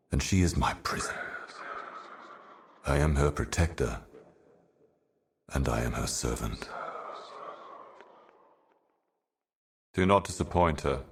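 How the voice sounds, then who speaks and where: A man voicing an ancient, otherworldly being in a fantasy game, speaking cryptic warnings of doom in a solemn, measured, portentous manner. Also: very deep voice, a little mellow, slight background noise. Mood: solemn foreboding. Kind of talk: theatrical fantasy game monologue.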